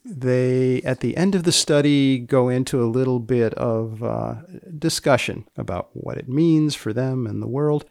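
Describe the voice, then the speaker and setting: A man hosting a podcast, giving deliberate, deadpan explanatory commentary in short measured phrases with dry asides. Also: mid-range voice, creaky, no echo. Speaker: podcast host.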